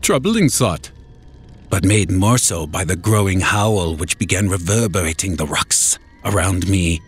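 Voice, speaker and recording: Man narrating horror, highly animated pitch. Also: a little slow, husky. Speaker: story narrator. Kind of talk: dramatic scripted horror narration in first person. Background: music.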